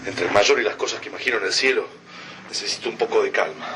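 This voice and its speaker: An actor playing a troubled, haunted army lieutenant in a radio drama. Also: male voice, on the low side, fast talker, lightly acted. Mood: weary. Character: troubled, haunted male lieutenant in alien invasion. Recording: very noisy radio drama recording.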